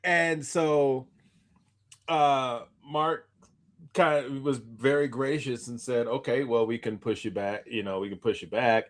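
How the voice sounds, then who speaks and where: A man in casual podcast conversation with a mid-pitched voice. He talks in an animated, rambling way, often restarting and repeating words as he tells a story. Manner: animated, rambling, stumbling over repeated starts. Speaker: podcast co-host. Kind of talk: casual chat, recounting anecdotes.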